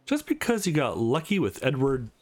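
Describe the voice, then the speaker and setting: A man's voice: medium pitch, wildly swooping pitch, moderate pace, a little mellow. A man voicing a brash young female sparring partner in a visual novel, blunt and goading. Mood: taunting. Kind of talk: visual novel dialogue read aloud.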